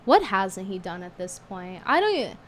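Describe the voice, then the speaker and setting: A woman's voice: mid-range voice, big pitch swings, moderate pace, slight background noise. A woman streamer chatting bluntly with viewers, her voice strained from a cold and hard to get out. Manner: blunt, scornful and chatty, reading tips aloud. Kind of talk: casual livestream chat.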